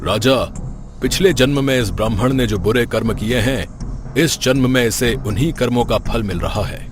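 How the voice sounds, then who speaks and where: A man's voice: mid-range voice, normal speed, lightly acted. A man voicing a mysterious double of the king who solemnly pronounces fate in a cartoon story, over background music. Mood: ominous. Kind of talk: cartoon voice acting.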